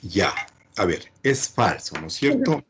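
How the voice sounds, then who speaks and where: A man's voice: fairly low pitch, wildly swooping pitch, measured pace, a bit bright, a little hiss or hum. A man teaching a class, didactic and often adding short check questions. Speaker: chemistry teacher.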